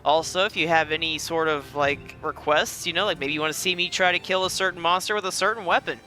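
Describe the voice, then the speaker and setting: A man's voice: fairly high pitch, fast talker, sharp tone. A man hosting a gaming video with casual, rambling, self-deprecating gameplay commentary. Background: faint game music.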